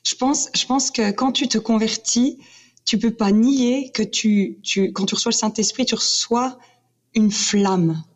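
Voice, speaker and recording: A woman's voice: a little high, unhurried. A woman from British Columbia, a guest talking passionately about her faith, with many restarts. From Canada, British Columbia. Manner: passionate, animated, restarts often. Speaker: guest speaker. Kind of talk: casual chat. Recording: dull lo-fi sound.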